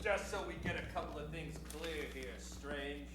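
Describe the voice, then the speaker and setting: A man's voice: on the high side, moderate pace, recorded from a distance, noisy background, close to an everyday voice. A man playing a stubborn, indignant sheriff in an improvised old-time radio western. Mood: dry defiance. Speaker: improv comedy player. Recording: very echoey stage, music behind.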